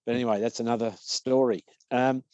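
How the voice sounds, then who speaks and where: An Australian man in his sixties from Victoria, a business-owner guest telling anecdotes in a relaxed, jokey way. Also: mid-range voice, fairly quick, no echo. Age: 60s. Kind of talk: casual interview storytelling.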